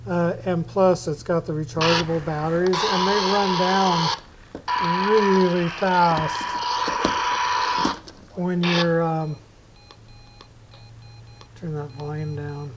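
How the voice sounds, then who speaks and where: A hobbyist giving a casual, unscripted gear demo, explaining things in a rambling, halting way. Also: medium pitch. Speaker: hobbyist demonstrating a radio setup. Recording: clear background noise with clicks.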